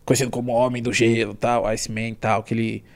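A man's voice: mid-range voice, level tone, quick pace. A man with a Brazilian accent chatting casually as a podcast guest, explaining things informally with slang and repeating points for emphasis.

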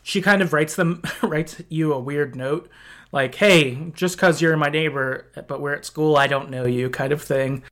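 A man's voice: on the high side, steady tone, measured pace, fairly bright tone. Casual, hesitant man recapping a story. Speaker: podcast host.

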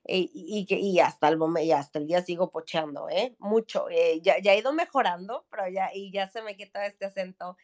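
A woman's voice: lowish voice, fairly quick, few pauses, darkish tone. A woman in her thirties from Mexico City with a Mexico City Spanish accent, a podcast guest chatting casually with many fillers and tag questions. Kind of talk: casual chat.

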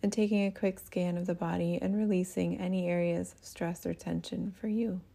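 A woman leading a guided meditation as a teacher, speaking in a gentle, soothing manner with breathy phrase endings. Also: lowish voice, steady tone, moderate pace.

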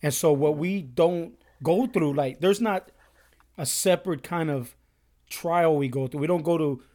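Socal podcast host guy, kinda high voice, chatty, throws in voices when he tells stories. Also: a little slow. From Southern California. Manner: lively storyteller who does voices for people in his stories. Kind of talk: casual faith chat.

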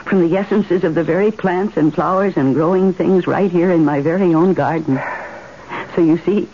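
An actress playing a dreamy, serenely stubborn suburban wife in a 1970s radio drama, airy and gently insistent. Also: female voice, fairly low pitch, moderate pace, slightly rough. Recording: dull, noisy old radio recording.

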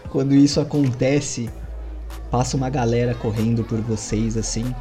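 A man's voice: medium pitch, fairly even intonation, normal speed, warm tone, some chatter behind. A man narrating a tabletop game as game master, in a loose, rambling way with many fillers. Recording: music under the voice.

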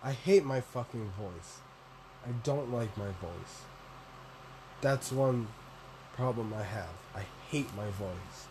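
A young man with a deep voice, rambling through a solo vlog, self-deprecating and swearing casually. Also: slow, long pauses, background noise. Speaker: podcaster and vlogger.

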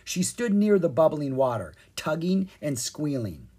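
This is a storyteller reading a children's book aloud. The delivery is expressive and full of lively pitch movement. The voice has a slightly husky grain. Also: a little slow. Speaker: storyteller, podcast reader. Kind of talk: scripted narration of a children's storybook.

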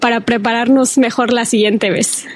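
A woman's voice: on the high side, steady tone, moderate pace. A young woman with a Mexican accent, a student athlete answering interview questions casually and a little hesitantly. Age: young adult. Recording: faint background noise.

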